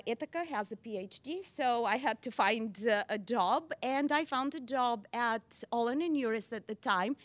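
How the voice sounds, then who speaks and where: Chatty woman, higher voice. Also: dry recording. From Bulgaria. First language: Bulgarian. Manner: chatty, self-deprecating, laughs often. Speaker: library director. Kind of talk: self-introduction at a staff gathering.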